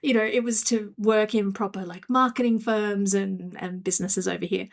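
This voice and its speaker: A woman's voice: medium pitch, steady tone, moderate pace, creaky. Chatty Australian woman guest. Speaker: guest, marketing coach. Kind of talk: podcast interview.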